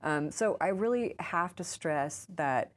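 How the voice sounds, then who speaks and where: A woman's voice: fairly low pitch, lively intonation, slow pace. An American woman giving casual, conversational interview answers in an informal, chatty way, often saying "like" and "you know". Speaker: interview guest, author and urban farmer.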